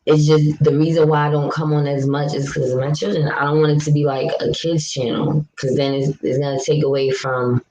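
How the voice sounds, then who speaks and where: A young woman from New York with a deep voice, chatting casually with viewers on a livestream in a frank, talkative way. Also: flat delivery, brisk, hardly any pauses, mellow, low-quality recording. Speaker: livestream vlogger. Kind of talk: casual live chat, answering viewer comments.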